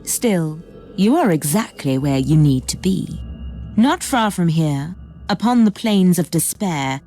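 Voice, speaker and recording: A woman's voice: lowish voice, expressive, slow, mellow, soft background music. An actress playing a cryptic, haughty female alien seer in a sci-fi video game, portentous and disdainful. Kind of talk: dramatic video game dialogue.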